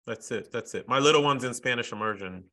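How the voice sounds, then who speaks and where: A man's voice: mid-range voice, fast. An American man talking energetically and emphatically in a podcast interview as the guest. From United States. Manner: energetic, emphatic, calls people brother.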